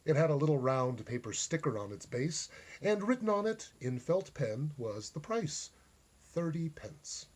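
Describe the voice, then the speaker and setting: A man reading a short story aloud as an expressive narrator. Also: on the high side, a little slow. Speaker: story reader.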